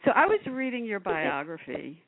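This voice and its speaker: Woman podcast co-host, bubbly, animated lilting pitch, tinny phone-like line. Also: moderate pace, noisy background. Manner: bubbly, laughs often. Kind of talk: casual podcast interview.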